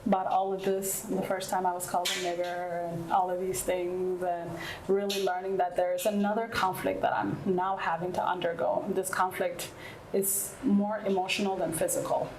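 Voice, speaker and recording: A woman's voice: lowish voice, flat delivery, normal speed, a little mellow. Woman, thirties, from ethiopia and south sudan, native nuer speaker, frank reflective answers, echoey room, faint hiss. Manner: frank, reflective, often adds "right?". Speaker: guest. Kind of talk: candid interview answers.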